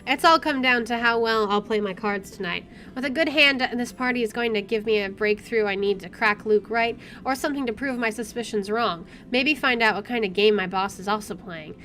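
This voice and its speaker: A woman's voice: on the high side, a little fast, hardly any pauses, a bit bright. A woman reading visual novel narration aloud with wry, dramatic expression over game music. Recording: music playing behind the voice.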